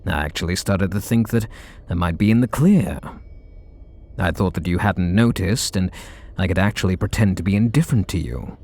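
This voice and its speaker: Man acting a low-voiced, brooding super villain. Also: darkish tone. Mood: anxious, confessing. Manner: sardonic, brooding, dramatic pauses. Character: brooding male super villain confessing hidden devotion. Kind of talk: scripted ASMR roleplay audio drama.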